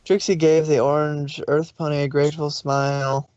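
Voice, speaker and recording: A storyteller narrates scripted fiction. The voice is mid-pitched, and the recording is dry and a little dull. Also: level tone, moderate pace, darkish tone, clear, resonant tone, dry recording.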